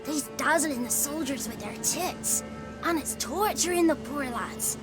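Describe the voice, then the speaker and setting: A woman's voice: high-pitched, a little slow. A woman playing a matter-of-fact, impudent peasant orphan child in a video game, with blunt rustic speech and music behind. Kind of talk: video game character acting.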